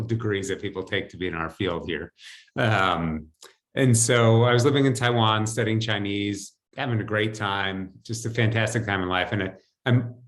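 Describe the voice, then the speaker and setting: A man in his fifties with an American accent, telling personal stories conversationally as an interview guest on a video call. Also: fairly low pitch. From United States, New Jersey. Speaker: podcast guest, financial advisor. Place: home, indoors. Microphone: video call computer mic.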